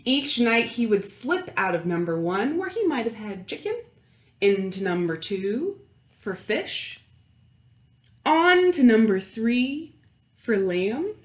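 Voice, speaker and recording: A woman reading a picture book aloud to young children, expressive, with questions and asides to her listeners. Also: mid-range voice. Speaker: children's storytime host and story reader. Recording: roomy, echoey indoor sound.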